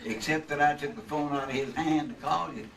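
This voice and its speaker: An actor playing an anxious, questioning husband in an old-time radio drama recorded live on stage. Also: male voice, on the high side, fairly quick, gravelly, distant mic, crowd noise. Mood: anxious, puzzled.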